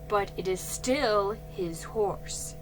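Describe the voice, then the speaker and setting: A woman voicing a calm, firm father who speaks in a measured way, in a children's storybook reading. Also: medium pitch, rough recording. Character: calm, firm father, middle-aged man. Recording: faint music behind.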